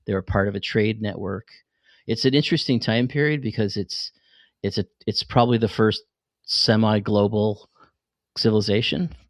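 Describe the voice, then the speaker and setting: A man's voice: lowish voice, moderate pace, dry recording. A man, middle-aged, talking casually on a podcast and explaining things in an enthusiastic, rambling way. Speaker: podcast host and fiction writer.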